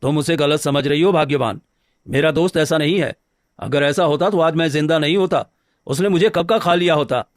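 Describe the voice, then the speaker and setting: A man playing a gentle, anxious village woodcutter in a cartoon story, speaking earnestly and hesitantly. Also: on the high side, a little fast, dry recording, studio quality, natural voice. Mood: earnest, pleading. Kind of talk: scripted Hindi cartoon story dialogue. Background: background music.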